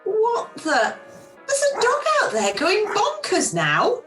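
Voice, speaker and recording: A woman's voice: very high-pitched, huge pitch swings, slow, a bit bright, faint music behind, heavily acted. A woman acting an exasperated, sarcastic friend in an amateur radio play, with a dry, exclamatory delivery. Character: Frankie, exasperated, sarcastic woman, easily scandalised. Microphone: video call from home. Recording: roomy home video-call recording.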